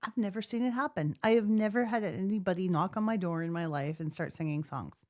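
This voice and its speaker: A woman co-hosting a casual podcast, firing off quick questions and teasing her co-hosts. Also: lowish voice, a little mellow, dry recording, studio quality. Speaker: podcast co-host. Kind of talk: casual chat.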